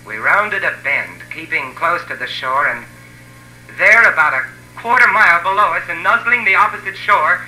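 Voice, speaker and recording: A grim male adventurer recounts a jungle ordeal in deliberate, dramatic phrases. His voice is fairly high and very bright, caught on a roomy, hissy old radio transcription. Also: lively intonation, full character voice. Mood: grim, weary. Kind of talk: 1950s radio drama acting and narration.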